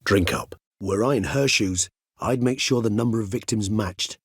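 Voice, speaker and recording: Husky, erudite gentleman vampire. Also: fairly low pitch, normal speed, no echo, clean studio sound. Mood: composed, explanatory. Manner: formal, wordy, archaic gentlemanly diction. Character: erudite, courteous, weary male higher vampire. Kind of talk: fantasy game character dialogue.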